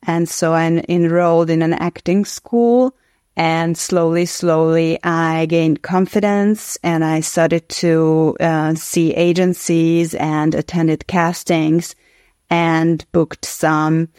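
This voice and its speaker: A woman's voice: sits low, flat delivery, slow, a little mellow, clear, resonant tone, dry recording, studio quality. A woman in her forties from Hungary, a native Hungarian speaker with a Hungarian accent, telling her story earnestly and reflectively in an interview. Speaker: guest actress.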